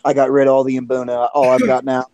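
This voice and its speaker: A man from Indiana with an Indiana accent, chatting casually and quickly on a group video call. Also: mid-range voice, completely flat delivery, warm tone, slight background noise. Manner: quick, laid-back, insists he has no accent. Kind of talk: casual chat.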